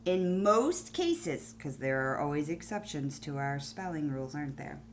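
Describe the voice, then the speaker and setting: A woman schoolteacher giving a phonics lesson to young pupils on video, explaining deliberately and spelling things out. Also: deep voice, big pitch swings, slight background noise. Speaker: primary school teacher.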